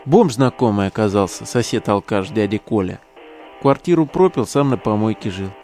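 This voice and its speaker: An actor playing a hot-tempered, crude young neo-Nazi relic digger in an audio drama, brash, slangy and sneering. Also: male voice, fairly low pitch, expressive, normal speed, dark tone, faint music behind. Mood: sullen, bragging.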